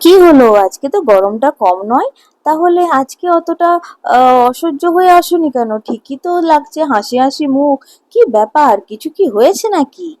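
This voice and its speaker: A woman voicing an honest, sensible, supportive wife in a cartoon story, with an expressive delivery. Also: sits high, normal speed, no room sound. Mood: curious, puzzled. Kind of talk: Bengali cartoon story voice acting.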